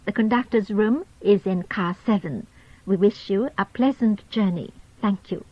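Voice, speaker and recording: A woman reading scripted onboard train announcements in a formal, polite, measured manner on a lo-fi cassette recording. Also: mid-range voice, dry recording. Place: recorded announcement played over train speakers. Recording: dull lo-fi cassette tape.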